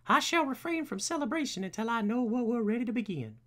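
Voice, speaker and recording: A man's voice: very high-pitched, lively intonation, fast talker, a bit bright, voice pushed up. A man voicing a formal, courteous elderly British butler from a comic book, dry and devoted, with a hesitant delivery.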